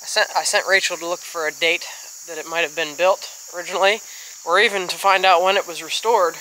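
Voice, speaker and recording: A man's voice: high voice, steady tone, fairly quick, sharp tone, a little hiss or hum, rough recording. A man in his thirties vlogging outdoors by a covered bridge, chatting to viewers as friends while filming himself at arm's length. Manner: chatty, explains as he goes, addresses viewers as friends. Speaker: travel vlogger. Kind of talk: casual walk-and-talk vlog. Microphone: handheld camera at arm's length.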